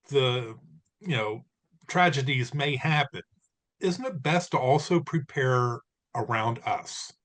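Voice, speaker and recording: A man's voice: mid-range voice, a bit bright. A man at a town hall asking a question in an earnest, rambling way. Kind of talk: casual question.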